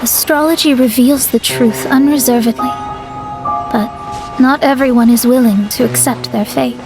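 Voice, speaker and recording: A woman performs a proud young astrologer from a video game. Her voice is high and she speaks formally and earnestly, with lofty self-assurance. Music plays clearly behind her. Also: fairly even intonation, moderate pace, natural voice. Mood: solemn.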